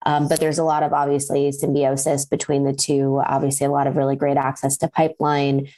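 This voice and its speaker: A woman's voice: a bass voice, completely flat delivery, a little fast, mellow. A woman with an American accent, a venture capital partner answering interview questions fluently, with frequent ums and restarts. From Pakistan.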